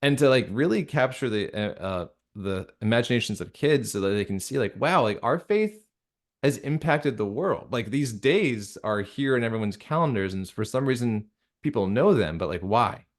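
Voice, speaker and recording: Deep-voiced American man chatting in an interview. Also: expressive, moderate pace. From United States. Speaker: interview guest, radio drama creator. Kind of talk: casual interview conversation.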